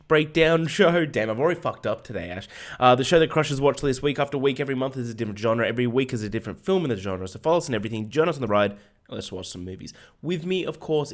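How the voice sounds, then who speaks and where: An Australian man co-hosting a film podcast, energetic and jokey, swearing freely, with a hoarse voice. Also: mid-range voice. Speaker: podcast co-host. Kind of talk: casual film podcast chat.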